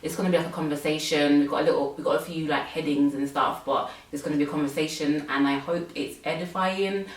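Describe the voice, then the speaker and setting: Young woman host, low voice, chatty with fillers, roomy room sound from off mic. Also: fairly even intonation, fast talker, few pauses, far from the mic. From England. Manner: chatty, many fillers, laughs often. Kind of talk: casual chat.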